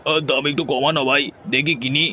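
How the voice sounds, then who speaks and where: A man's voice: fairly high pitch, little pitch movement, fast, sharp tone, slight background noise. A man playing a blunt, bargaining villager in a cartoon, informal and matter-of-fact. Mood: haggling. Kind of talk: cartoon voice acting.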